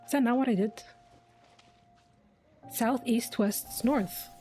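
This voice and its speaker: A young woman streaming a game, with a mellow, relaxed tone at average pitch. She mutters her thinking aloud in casual, informal phrases. Game music sits faintly behind her. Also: slow pace. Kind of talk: casual gameplay commentary.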